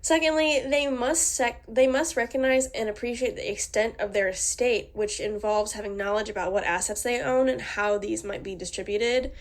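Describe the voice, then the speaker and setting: A woman's voice: fairly high pitch, steady tone, moderate pace, few pauses. Woman with a cold, slightly nasal. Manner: reads formal text with small slips and restarts. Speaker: student presenter. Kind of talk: reading a prepared class presentation.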